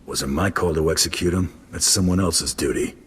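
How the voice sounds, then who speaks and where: An actor playing a stern, cool-headed hero for hire who speaks tersely in a video game. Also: male voice, sits low, breathy edge. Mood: stern, calm. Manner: terse, measured.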